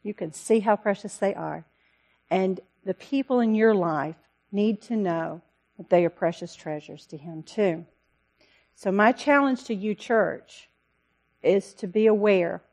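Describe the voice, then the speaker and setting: A woman missionary giving an earnest, pleading talk to a church congregation, with a loud voice. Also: on the low side, gravelly. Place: church service.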